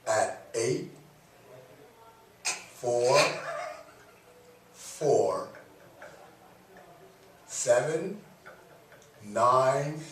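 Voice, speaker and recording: A man hosting a local radio talk show, chatting casually in a joking, teasing, playful way. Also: mid-range voice. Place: radio studio. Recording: echoey room, distant mic, faint noise.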